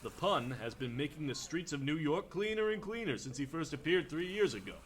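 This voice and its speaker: An actor playing an exasperated, sardonic police captain in a radio drama, plain-spoken and dry. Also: male voice, fairly high pitch, big pitch swings, fairly quick, slight background noise. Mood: weary, sardonic.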